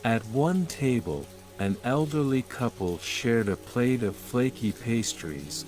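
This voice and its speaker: A man with a fairly low voice narrates a bedtime story. His delivery is calm, soothing and very unhurried, each phrase measured out for sleep. Gentle music and rain play behind him. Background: calm music and rain sounds.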